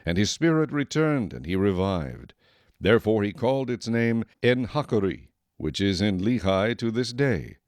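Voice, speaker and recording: A man's voice: fairly low pitch, big pitch swings, unhurried, darkish tone, no echo, clean studio sound. A man narrating scripture as a scripted audio drama narrator.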